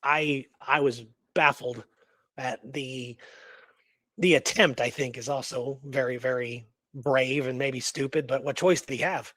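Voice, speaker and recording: A man co-hosting a radio show, talking casually and excitedly in an informal, rambling way. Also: mid-range voice, a little slow, no echo. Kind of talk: casual enthusiastic discussion.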